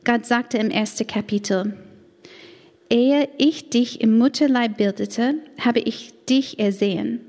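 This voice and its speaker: A woman with an American accent, a native English speaker, shares a testimony at church, speaking slowly and deliberately. Her fairly high voice carries earnest care, with an occasional grammar slip. The sound rings with the long reverb of a big hall. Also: a little mellow. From United States. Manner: slow, earnest, careful delivery with occasional grammar slips. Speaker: church women's ministry leader. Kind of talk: personal testimony talk.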